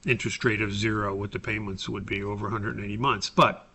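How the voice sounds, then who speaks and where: Bright-voiced American man teaching a tutorial. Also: fairly low pitch, gravelly. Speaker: course instructor recording a tutorial for his class. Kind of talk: informal screen-recorded software tutorial, explanatory.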